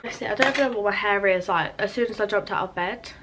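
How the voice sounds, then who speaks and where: A woman's voice: mid-range voice, quick pace, background noise. A teenage girl chatting casually while doing her makeup, rambling with lots of "like" and still sounding sleepy from just waking up. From England. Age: teens. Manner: chatty, rambling, filler-heavy with frequent "like". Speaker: beauty vlogger. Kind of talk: casual get-ready-with-me chat.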